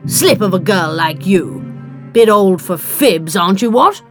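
A woman voicing a gruff, boozy male adventurer in his forties, pompous and belligerent, in an audiobook story. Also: mid-range voice, lively intonation, moderate pace, soft background music, close to an everyday voice. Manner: blustering, old-fashioned upper-class phrasing.

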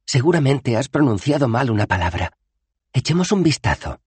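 A man's voice: medium pitch, very animated, normal speed, a little mellow, husky, no echo, pitched above the performer's own voice. A man reading an audiobook aloud, voicing a bold, curious young woman in a raised, lighter put-on voice.

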